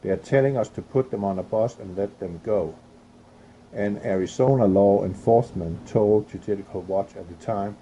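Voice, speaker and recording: Low dark male voice from Europe, halting news reader with commentary, faint hiss behind. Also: lo-fi sound. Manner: halting reading with restarts and coughs. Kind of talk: reading news aloud with opinionated commentary.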